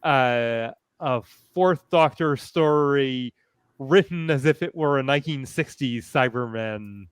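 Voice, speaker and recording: A man speaking as a panelist in a fan discussion, hesitant and thoughtful, often halting as he phrases things. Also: mid-range voice, clear, resonant tone, no echo, studio quality.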